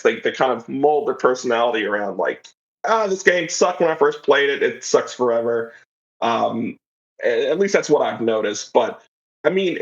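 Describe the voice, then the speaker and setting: Slightly muffled man chatting as a guest. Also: medium pitch. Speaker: interview guest, audio drama writer and creator. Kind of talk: casual conversational interview.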